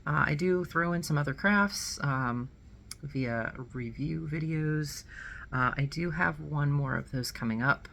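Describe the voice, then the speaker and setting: A woman's voice: sits low, measured pace, creaky, a bit bright, slight background noise. A woman in her thirties chatting casually about cross stitch to camera at home, a bit nasal from a cold and rambling with small self-corrections. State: nasal, getting over a cold. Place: small indoor room at home.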